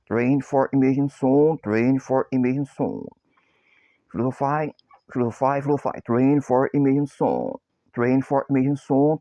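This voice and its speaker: A man's voice: mid-range voice, mellow, no echo, lo-fi sound. A Brazilian man with a Brazilian Portuguese accent, a copywriter introducing himself and chanting the same short phrases over and over in broken English. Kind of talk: repetitive chanted self-introduction.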